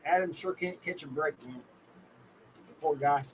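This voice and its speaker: A man's voice: a little high, normal speed, a little hiss or hum, rough recording. An American man hosting a casual livestream, talking in a folksy, colloquial way and addressing his viewers by name. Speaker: livestream host.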